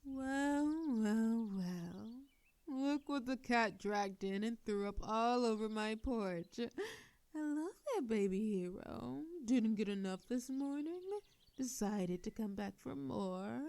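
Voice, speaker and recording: A woman's voice: on the high side, a little mellow. A woman playing a sardonic telepathic supervillain in a scripted audio drama, with a theatrical, teasing way of drawing out her words. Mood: mocking, menacing. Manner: theatrical, taunting, drawn-out words. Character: sardonic female telepathic supervillain, menacing yet softening.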